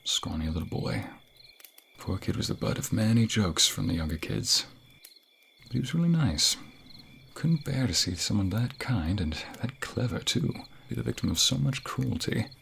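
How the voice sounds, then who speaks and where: Casting: male actor as tender fantasy king for ASMR romance, lowish gravelly voice, flirtatious secretive murmur. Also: very animated, normal speed, slight background noise, full character voice. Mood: fond, confiding. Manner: tender, hushed, breathy murmuring, often whispering. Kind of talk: intimate ASMR romantic roleplay.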